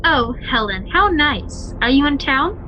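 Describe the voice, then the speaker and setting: A woman's voice: sits high, very animated, a little slow, dull audio, slight background noise. A woman playing a polite, indulgent suburban mother in a radio play. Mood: friendly, chatty.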